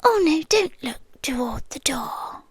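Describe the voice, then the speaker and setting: A British woman playing a possessive, softly menacing yandere girlfriend in an ASMR roleplay, breathy and whispery, sweetly condescending, close on the mic. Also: high voice, sing-song, measured pace, no echo. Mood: amused, menacing. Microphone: close microphone.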